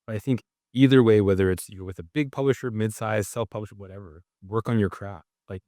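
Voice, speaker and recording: A man's voice: lowish voice, fairly even intonation, brisk, warm tone, no echo, clean studio sound. A man from Canada, a writer and journalist being interviewed, answers thoughtfully with frequent "like"s and restarts. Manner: thoughtful, with many filler "like"s and restarts.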